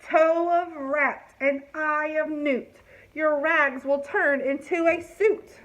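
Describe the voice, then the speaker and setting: A woman's voice: high voice, steady tone, slow pace, fairly bright tone, dull audio, voice pushed up. A woman voicing a scruffy, bungling fairy godmother from a storybook who chants out rhyming spells. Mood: grand spell-casting.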